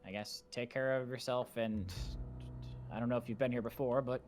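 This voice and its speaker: A man's voice: medium pitch, steady tone, normal speed. A young man in a roleplay, dry and skeptical, humming thoughtfully between lines. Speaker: roleplay channel host. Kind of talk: in-character roleplay chat. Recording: clear background music.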